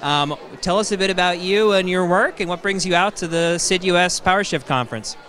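A man's voice: high voice, fairly quick, fairly bright tone. A man in his thirties interviewing guests at a conference booth with a handheld mic, enthusiastic and encouraging. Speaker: interviewer for a conference live studio. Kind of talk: upbeat live event interview. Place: conference hall booth, indoors.

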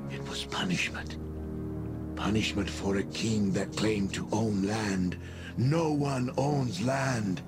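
An actor playing a grave, primal lizard-man of the marsh in a video game, speaking solemnly in halting broken English with breathy pauses. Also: lowish voice. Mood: ominous, intense. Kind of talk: video game character dialogue. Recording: game dialogue over music. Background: music.

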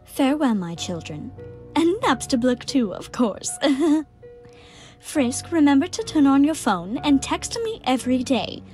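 A woman acts out a motherly monster in an audio drama. She is gentle and anxious, speaking in careful formal phrases with a highish, very expressive voice over faint music. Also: measured pace. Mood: tender farewell. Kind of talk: fan audio drama acting.

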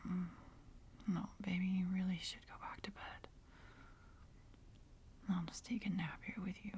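A woman playing a tender, sleepy, teasing girlfriend in an ASMR roleplay, speaking softly and intimately in a soothing whisper. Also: lowish voice, little pitch movement, measured pace, slight background noise. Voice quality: soft, breathy whisper with some fry.

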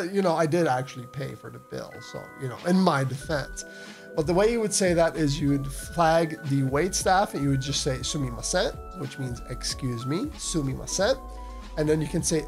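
A man hosting a travel video, explaining restaurant phrases in a relaxed, chatty way with asides and fillers. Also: on the high side. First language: not Japanese. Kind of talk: casual instructional talk to camera.

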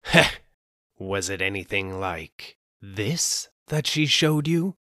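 A man reading aloud, voicing a rough, unsympathetic noodle peddler who questions curtly. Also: mid-range voice, big pitch swings, normal speed, a bit bright, dry recording, studio quality, voice pushed up. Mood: mocking.

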